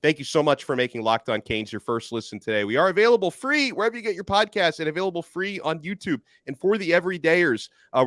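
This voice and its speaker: A man in his thirties hosting a sports podcast over a video call, giving an energetic, rapid rundown of recruiting news. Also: a little high, no echo, studio quality. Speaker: podcast host. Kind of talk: casual sports podcast chat. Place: home studio, video call. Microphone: desk microphone.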